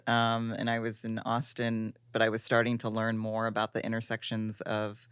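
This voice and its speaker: A woman's voice: rumbling low, clean tone, dry recording, clean studio sound. A woman from Texas, an organizer, answering interview questions in a gracious, casual way with some fillers. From United States, Texas.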